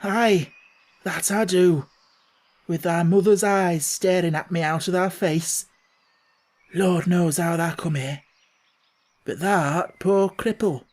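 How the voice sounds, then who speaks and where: A man voicing a grumpy old male gardener with a broad Yorkshire accent and a gruff, scolding manner, in a storybook reading. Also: sits high, slow, pauses often. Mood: shaky. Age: older.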